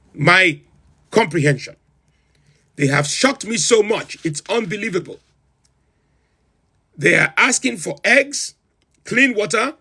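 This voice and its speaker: A man's voice: sits high, bright, forceful tone, slightly rough, exaggerated voice. A man doing a pompous self-styled president. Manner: incredulous, theatrical, lists items with rising emphasis. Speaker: livestream host. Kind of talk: comedic in-character livestream rant.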